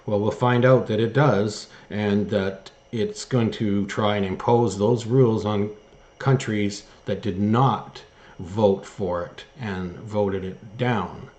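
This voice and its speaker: A man hosting an online news show, giving opinion commentary and reading articles aloud in a halting way with emphatic stress. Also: lowish voice, fairly even intonation, darkish tone. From Canada.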